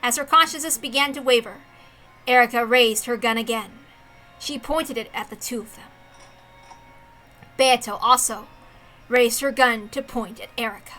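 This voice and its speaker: A woman's voice: a little high, moderate pace, pauses often, bright, forceful tone. A woman narrating a visual novel as a dramatic story reading. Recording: music behind the voice. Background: background music.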